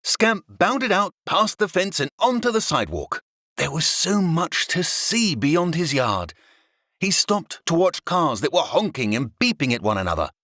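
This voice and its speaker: A man narrating a scripted children's story in a dramatic, expressive storytelling manner. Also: a little high, a little slow, dry recording, studio quality.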